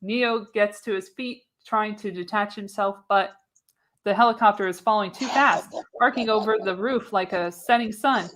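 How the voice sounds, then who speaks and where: A woman's voice: mid-range voice, moderate pace, a bit bright, a little hiss or hum. Woman narrating an action script dramatically. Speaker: narrator, show host. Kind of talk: reading screenplay directions for live radio play.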